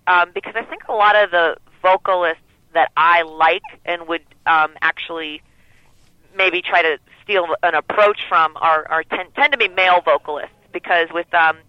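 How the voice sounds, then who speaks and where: A woman who sings lead in a band, with a lower voice, talking in a relaxed, rambling way with many fillers during a phone interview. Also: moderate pace, no echo. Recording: dull lo-fi phone line.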